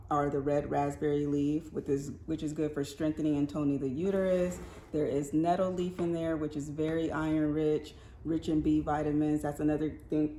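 A low-voiced woman speaks as a wellness practitioner guest, calm and informative. Her tone is mellow and warm. The recording carries an echoey room with a faint hiss. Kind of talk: interview answers.

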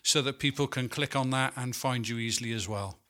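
A man's voice: mid-range voice, fairly quick, pushed and bright. A Welsh man co-hosting a podcast, chatty and jokey, with plenty of fillers and asides. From Wales. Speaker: podcast co-host. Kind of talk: casual podcast chat.

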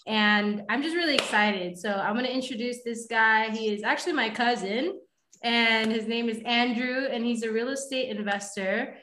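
A woman's voice: a little high, normal speed, bright, forceful tone, echoey room, slight background noise. A woman hosting a casual interview, upbeat and chatty. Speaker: host.

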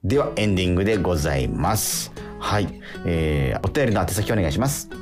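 A man hosting a radio show casually, with a halting delivery and self-corrections. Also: deep voice, very animated, over music.

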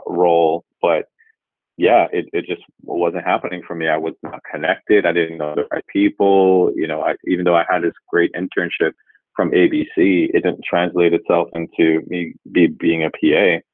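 A man in his forties from Jamaica, a guest speaking in a measured, thoughtful way and often pausing to rephrase. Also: sits low, flat delivery, dry recording. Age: 40s. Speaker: guest, revenue executive. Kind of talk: reflective interview answers. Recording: tinny phone-like line, dry.

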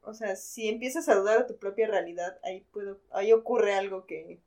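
A woman co-hosting a casual chat about literature, informal, expressive and often questioning. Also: medium pitch, brisk. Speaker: podcast co-host.

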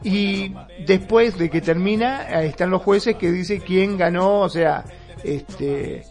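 A man's voice: high-pitched, slow pace, a little mellow, soft background music. A man with an Argentine accent co-hosting a casual radio show, explaining things in a searching, stop-and-start way. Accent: Argentine Rioplatense. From Mar del Plata, Argentina.

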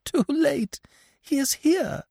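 Man putting on an anguished, very high-pitched young woman. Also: lively intonation, slow pace, vocal fry, a little mellow, dry recording, studio quality, full character voice. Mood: despairing. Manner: pleading, melodramatic.

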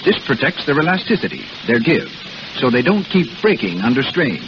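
A man's voice: medium pitch, very animated, brisk. A man announcing a scripted commercial in the style of 1930s radio. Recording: muffled noisy 1930s radio broadcast.

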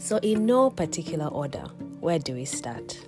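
A Ugandan woman hosting a personal storytelling podcast, slightly croaky from a cold, reflective and quick to chuckle. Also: low-pitched, sing-song, over music. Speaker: podcast host.

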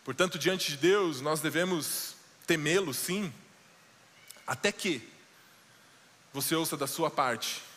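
A man preaching a sermon as pastor, slowing down and dropping low for dramatic quoted lines. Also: a bit bright, long reverb tail. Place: church sanctuary.

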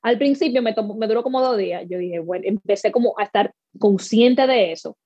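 A Dominican woman with a warm, mellow voice talks through her experiences in a relaxed interview, lively and acting out the lines she quotes. The recording is dry and a bit dull, with no room sound. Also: mid-range voice, a little fast, no room sound. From Dominican Republic. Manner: animated storytelling, acts out quoted lines. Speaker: guest, speaker and workshop leader. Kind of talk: conversational interview.

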